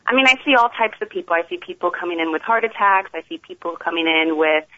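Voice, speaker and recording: A woman's voice: lowish voice, fast talker, dry recording. A young woman from the Bronx, an emergency room doctor, explaining her work as a guest on a talk show. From the Bronx, New York. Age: young adult. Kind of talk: phone interview. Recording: tinny phone line, dry.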